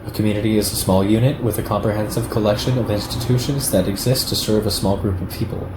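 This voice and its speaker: Male reader, lowish pitch, measured delivery of political prose, noisy recording. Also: steady tone. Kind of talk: read-aloud political text, audiobook-like. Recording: echoey room with clear background noise.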